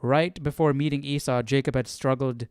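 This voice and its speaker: Clear-voiced man thinking aloud, dry close sound. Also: mid-range voice, steady tone, normal speed, a little mellow, dry recording. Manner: informal, questioning, muttering to himself. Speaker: livestream Bible study host. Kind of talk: casual chat, thinking aloud.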